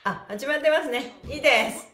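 A woman's voice: high-pitched, fairly quick, fairly bright tone. A middle-aged woman leading a stretching lesson in a polite, bubbly, sing-song way, talking to a camera in a small room. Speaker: stretching instructor and livestream host. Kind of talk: cheerful exercise lesson, chatting and counting chants. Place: small indoor studio room, sitting on a mat. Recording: echoey room with music.

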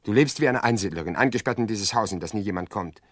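A man's voice: on the low side, lively intonation, fast, dry recording, clean studio sound. A man playing an earnest, insistent suitor in his prime, speaking urgently and persuasively in a radio play. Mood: indignant, urgent.

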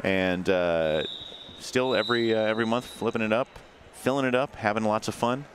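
A man's voice: fairly low pitch, normal speed, slight background noise. A man calling play-by-play for a live roller derby game, narrating the action. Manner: play-by-play, calls action, fillers like uh. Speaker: sports commentator.

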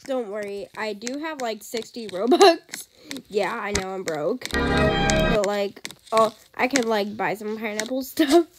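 A woman's voice: on the high side, lively intonation, over loud music. A young woman with a congested voice, casually chatting as she plays a game for her channel. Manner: chatty, slow-paced, says "like" often. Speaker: gaming channel host. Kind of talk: casual gameplay commentary. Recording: noticeable background noise.

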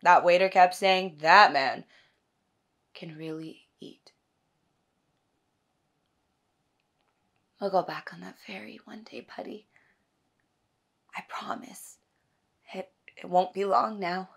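A woman performing a stage monologue as a tender, wistful wife talking intimately and haltingly to her husband, often softly. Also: lowish voice, gravelly. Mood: fond, nostalgic. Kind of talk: theatrical audition monologue.

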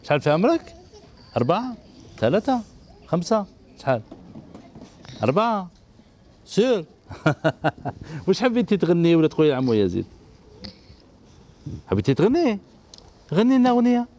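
A man in his forties with an Algerian Arabic accent, hosting a children's TV show. He gently coaxes children on stage and repeats praise over and over. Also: high-pitched, big pitch swings, normal speed, pauses often, a little hiss or hum. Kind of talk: coaxing kids on stage. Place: indoor TV studio set. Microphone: handheld mic.

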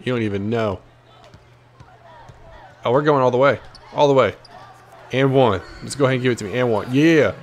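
A man's voice: mid-range voice, moderate pace, long pauses. A man giving casual, slangy gameplay commentary, cocky and playful with plenty of trash talk. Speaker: gaming video host.

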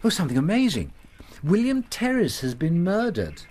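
An actor playing a proper, earnest Victorian gentleman doctor in a radio drama. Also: high voice, huge pitch swings, moderate pace, full character voice. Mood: shocked, earnest.

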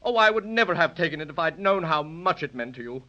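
High-voiced, whimpering silly dragon. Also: male voice, expressive, fast, bright, forceful tone. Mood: contrite. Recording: muffled old 1930s radio recording.

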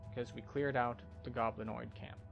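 A man calmly explaining his way through a video game as a tour guide, in a gameplay commentary style. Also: medium pitch, moderate pace, creaky, muffled recording. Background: game music.

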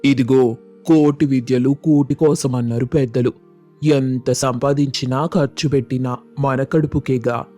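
Man acting village husband, greedy for food, flat pitch movement, soft dark tone, grumbling and pleading, moral tale. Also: measured pace, soft background music, close to an everyday voice. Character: food-obsessed, stubborn village husband craving chicken pulao. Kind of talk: Telugu moral story voice acting.

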